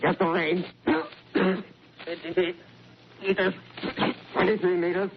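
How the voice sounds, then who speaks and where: An actor playing a brisk, commanding artillery officer giving clipped firing orders in a 1930s radio drama. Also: male voice, sits high, little pitch movement. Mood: strained, alarmed. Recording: muffled, hissy 1930s radio broadcast.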